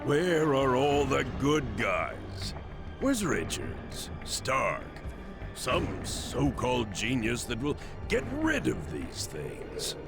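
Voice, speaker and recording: An actor playing a huge, pompous crime boss in a video game, demanding and imperious. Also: male voice, mid-range voice, wildly swooping pitch, takes it slowly, gravelly, music in the background, exaggerated voice. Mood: scornful, scheming. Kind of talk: video game cutscene acting.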